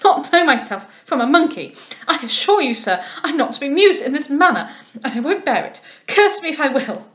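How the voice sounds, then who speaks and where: A woman reader voicing a vain, affected young gentleman who speaks in an indignant, mannered way. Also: extremely high pitch, very animated, very slow, few pauses, pitched above the performer's own voice, full character voice.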